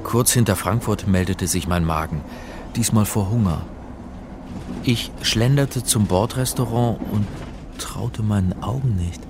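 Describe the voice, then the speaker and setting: A man's voice: lowish voice, unhurried, noisy background. An actor playing a flustered, wry male scholar in trouble, in a radio drama with first-person narration, sounding hesitant and sardonic.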